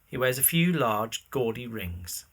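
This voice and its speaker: A man's voice: medium pitch, very animated, unhurried, a bit bright, slightly rough. A man narrating a scripted audio drama in the present tense, describing scenes and actions.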